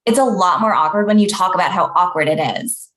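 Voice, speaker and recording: A woman's voice: lowish voice, brisk, fairly bright tone, close to an everyday voice. Woman as blunt, dismissive young elf actress. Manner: dry, dismissive. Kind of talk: comedy table read.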